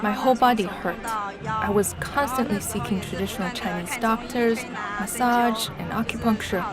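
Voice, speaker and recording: A woman from Taiwan calmly recounting her experience in a street interview. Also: a little high, moderate pace. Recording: outdoor crowd, loud music, distant mic.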